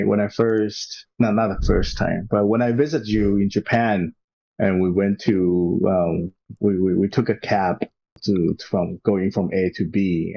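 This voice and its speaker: Man, podcast co-host, low voice, rambling, frequent restarts, muffled lo-fi audio. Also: creaky, warm tone. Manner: rambling, many restarts and repeated words. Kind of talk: casual conversation.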